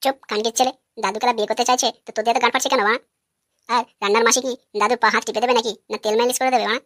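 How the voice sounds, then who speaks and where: A woman's voice: on the high side, steady tone, rapid-fire, fairly bright tone, dry recording, studio quality, lightly acted. A woman playing a brash, scheming young male prankster in a comic cartoon, pushy and foul-mouthed. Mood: brash, mocking.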